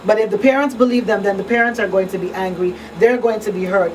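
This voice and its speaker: A woman speaks conversationally, explaining things on a radio talk show. Her voice sits at a middle pitch, a little away from the microphone, with faint noise in the small studio. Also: steady tone, quick pace, darkish tone, distant mic. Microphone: studio microphone on boom arm. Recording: roomy off-mic sound, faint background noise.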